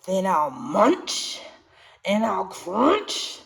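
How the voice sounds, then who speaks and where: A woman voicing a big bad male shark, a menacing storybook villain making slow, drawn-out threats. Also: mid-range voice, very animated, vocal fry, a bit bright, heavily acted. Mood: threatening. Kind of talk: storybook read-aloud.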